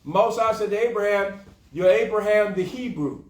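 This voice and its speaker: A man's voice: sits high, moderate pace. A man teaching scripture informally as a class leader, repeating his points and checking in with short tag questions. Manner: repetitive, punctuating with "okay" and "huh". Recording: echoey room, distant mic.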